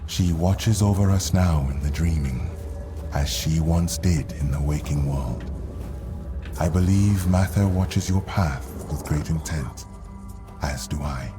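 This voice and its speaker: An actor playing a reverent, portentous guide in a fantasy video game, with a ceremonial manner. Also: male voice, extremely low pitch, slow pace. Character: reverent, portentous male guide of a dream world. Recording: music clearly behind the voice.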